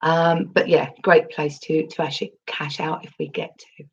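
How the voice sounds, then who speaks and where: Female livestream host, low husky voice, chatty and rambling, dull lo-fi mic. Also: little pitch movement, normal speed. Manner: chatty, rambling, with frequent ums and restarts. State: recovering from losing her voice. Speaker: crypto livestream host reading chat. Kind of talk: casual livestream chat.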